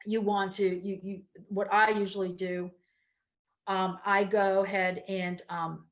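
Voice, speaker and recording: A middle-aged woman from Connecticut, with a Connecticut accent and a trace of Baltimore, explaining her stage work in a casual talk with many ums and restarts. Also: fairly low pitch. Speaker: performer and storyteller giving a talk.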